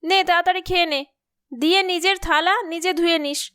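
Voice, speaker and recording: A very high-pitched cartoon mother, middle-aged and worn out with nagging. She scolds and frets in a dry, close recording. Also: fairly even intonation, moderate pace, pushed and bright, dry recording. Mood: scolding. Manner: scolding, fretful. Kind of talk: cartoon story acting.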